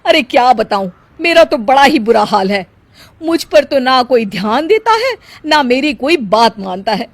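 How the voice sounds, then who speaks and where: Woman acting a dramatic, high-pitched whiny housewife. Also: very animated, a little slow, no echo, full character voice. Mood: self-pitying. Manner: whiny, theatrical complaining. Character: dramatic middle-aged housewife, self-pitying and scheming mother-in-law.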